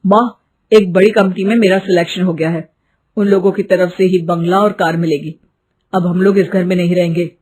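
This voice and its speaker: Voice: medium pitch, fairly even intonation, moderate pace, darkish tone, natural voice. A performer playing a resentful, vengeful young man, a housemaid's son, speaking emphatically in a dramatized story. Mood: proud. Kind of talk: dramatized Hindi moral story.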